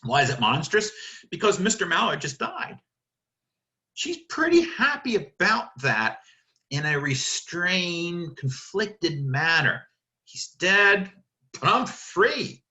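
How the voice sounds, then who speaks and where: Male literature lecturer. Sharp, bright delivery, emphatic and theatrical, asks and answers his own questions. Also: high-pitched, big pitch swings, slow pace. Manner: emphatic, dramatic, rhetorical questions answered by himself.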